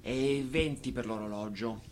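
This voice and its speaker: Man playing condescending pawnbroker, radio drama, mid-pitched, dry, measured, shrewd. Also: lightly acted. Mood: dismissive. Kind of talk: theatrical radio play dialogue.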